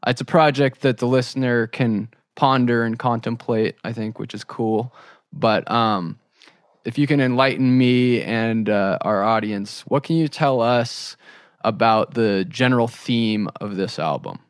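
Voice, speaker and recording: Male podcast interviewer, clear and smooth, mid-pitched, casual delivery, close dry sound. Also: unhurried, dry recording.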